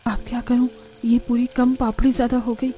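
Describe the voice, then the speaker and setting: A woman voicing a poor, gentle, hopeful mother in a cartoon moral story, speaking in a soft, reassuring, respectful way. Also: a little high, little pitch movement, normal speed, close to an everyday voice. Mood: caring. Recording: background music under the voice.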